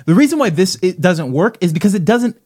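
A man's voice: high voice, sing-song, fairly quick, a little mellow, slightly rough. A man discussing films casually as a podcast co-host, making his argument with emphasis.

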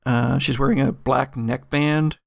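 A male game master with a creaky, gravelly grain in a dry, close recording. He describes each scene in an improvised, halting way, at a medium pitch. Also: fairly even intonation, no echo. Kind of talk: improvised narration of a tabletop roleplaying game.

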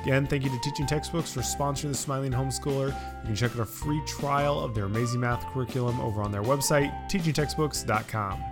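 A man's voice: medium pitch, quick pace, hardly any pauses, over music. A man co-hosting a podcast, chatting casually with an upbeat, joking manner. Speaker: podcast co-host. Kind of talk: casual chat.

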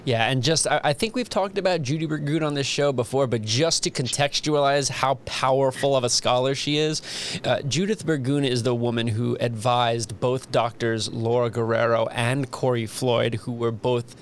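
A man's voice: medium pitch, fairly even intonation, moderate pace, a little hiss or hum. A man hosting an academic podcast, introducing his guest in a friendly, chatty way with light laughter. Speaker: podcast host. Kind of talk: casual interview.

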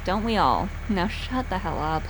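An actress playing a tough, sardonic accomplice in a radio play, curt and deadpan. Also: female voice, lowish voice, normal speed, natural voice, poor audio. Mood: brusque. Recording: clear background noise.

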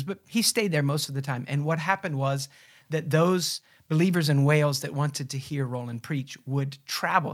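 A man with a mid-range voice introduces a sermon by telling stories from church history. He speaks as a host, informally and earnestly, explaining as he goes. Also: medium pitch.

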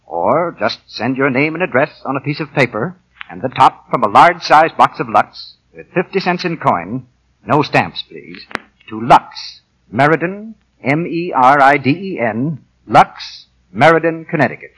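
Animated, husky 1940s radio announcer man. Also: mid-range voice, measured pace, rough recording. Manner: polished, salesmanlike. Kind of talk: 1940s radio advertisement reading. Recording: 1940 radio broadcast.

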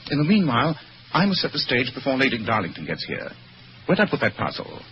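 An actor playing a shrewd, masterful gentleman detective in an old-time radio drama, speaking precisely and theatrically. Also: mid-range voice, huge pitch swings, muffled recording, noisy background. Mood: assured, commanding. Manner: brisk, commanding, theatrical, precise.

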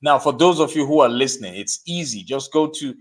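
A man hosting a live podcast interview, urging his listeners in an emphatic, exhorting way and spelling out names slowly. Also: mid-range voice, expressive. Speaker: podcast host. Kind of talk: motivational interview show.

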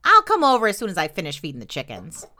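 A woman's voice: mid-range voice, huge pitch swings, fast talker, sharp tone, slight background noise. Woman putting on a doubting, practical farmer man. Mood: practical, obliging. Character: male farmer neighbours, unhurried, practical, doubting.

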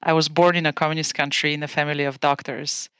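A woman in her forties from Bulgaria with a Bulgarian accent, a guest calmly recounting her career in a podcast interview. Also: low voice, fast, smooth, no room sound, clean studio sound.

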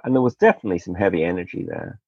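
A man's voice: low-pitched, huge pitch swings, racing, vocal fry, slightly rough, no room sound. A man hosting a podcast interview, chatting casually with wry, digressive asides. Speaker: podcast host. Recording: dull lo-fi dry sound.

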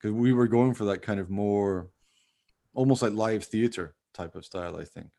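A man's voice: fairly low pitch, normal speed, gravelly, warm tone. A man in casual, informal conversation, talking in a rambling way as a podcast host. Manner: rambling, informal, often hedging with "you know". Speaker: podcast host, radio play writer. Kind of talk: casual chat.

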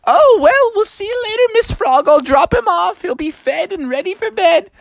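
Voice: very high voice, lively intonation, moderate pace, gravelly, no echo, pitched above the performer's own voice, full character voice. A storyteller voicing a friendly, breezy mother of a family friend, chirpy and reassuring.

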